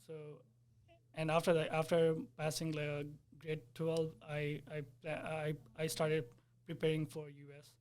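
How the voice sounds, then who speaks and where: Young man, flat and earnest. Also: a little high, measured pace, clear, resonant tone. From Nepal. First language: Nepali. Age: 20s. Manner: earnest, explanatory, searching for words. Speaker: software developer, interviewee. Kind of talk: casual interview.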